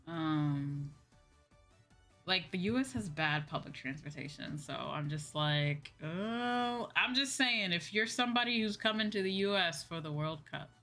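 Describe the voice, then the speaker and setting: A woman's voice: medium pitch, animated, moderate pace, bright, forceful tone. A woman hosting a livestream, chatting casually with viewers in a rambling way, thinking aloud and circling back over her points. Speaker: livestream host and virtual streamer. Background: background music.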